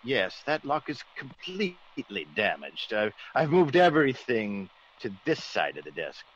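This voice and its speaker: An actor playing a genteel, easygoing English gentleman with polite, old-fashioned exclamations, in a classic radio drama. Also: medium pitch, sing-song, measured pace, a little hiss or hum. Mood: genial, dismissive. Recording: dull old radio recording.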